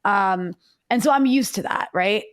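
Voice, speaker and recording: A woman hosting an interview, halting, with lots of restarts and "like" fillers. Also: mid-range voice. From United States. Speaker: interview host. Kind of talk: conversational interview questions.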